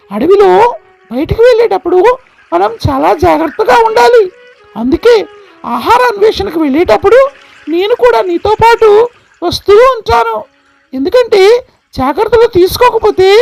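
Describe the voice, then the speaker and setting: A man's voice: extremely high pitch, sing-song, normal speed, vocal fry, long pauses, soft background music, heavily acted, rough recording. A man voicing a worried, caring mother deer who patiently warns her child. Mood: caring, warning. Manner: gentle, warning, patient.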